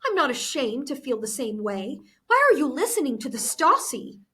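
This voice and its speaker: A woman's voice: high-pitched, very animated, normal speed, bright, forceful tone, husky, pitched above the performer's own voice, heavily acted. A woman voicing an angry, hurt twelve-year-old girl in a book read-aloud, accusing and emphatic. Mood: indignant.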